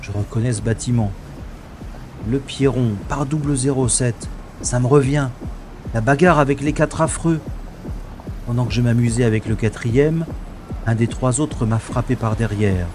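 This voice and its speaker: A man's voice: medium pitch, moderate pace, lightly acted. A man playing a cocky, wry special agent who narrates his own scrapes theatrically in a science-fiction audio drama. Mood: groggy, pained. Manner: theatrical, slangy, self-narrating. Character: wry, cocky male special agent, talking himself through trouble. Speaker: lead actor in an audio drama. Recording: music under the voice. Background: music.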